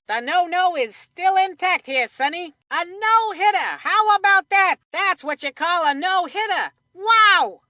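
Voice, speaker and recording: A woman voicing an excitable, folksy cartoon baseball commentator for a kids' sports video game. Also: very high voice, dry recording, studio quality. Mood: excited.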